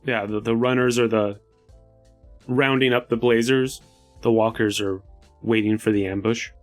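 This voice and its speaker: Guy player in an rpg chat, medium pitch, explaining ideas off the cuff, soft music behind. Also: steady tone, normal speed. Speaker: tabletop game player. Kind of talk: casual collaborative worldbuilding talk.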